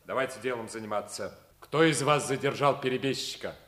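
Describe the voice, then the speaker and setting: A man playing an authoritative but good-humored army lieutenant colonel, brisk and paternal, in a 1950s Soviet radio play. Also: medium pitch, fairly bright tone, lightly acted. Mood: wry, commanding.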